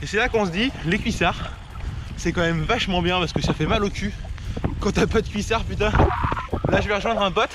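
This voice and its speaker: A man's voice: high-pitched, a little fast. A young man vlogging to camera while riding a road bike outdoors in the rain, chatting straight to his viewers. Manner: chatty, talks to viewers directly. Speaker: cycling vlogger. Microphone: action camera on the bike. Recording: loud wind noise outdoors. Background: wind and traffic noise.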